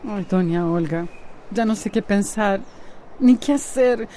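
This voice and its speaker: A despondent woman played by an actress confides her troubles in a radio drama. Her Costa Rican-accented voice is mid-pitched and soft-toned, with faint ambient noise behind. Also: measured pace, natural voice. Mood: troubled.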